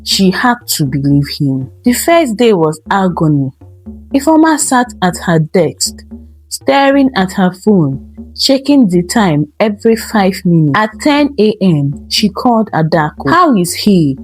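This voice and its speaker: A woman tells a dramatic scripted tale at a slow, deliberate pace. Her voice is husky and sits at a middle pitch, with faint music behind it. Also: sing-song, a little mellow, low-quality recording. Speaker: storyteller. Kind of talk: dramatic scripted narration of a folktale.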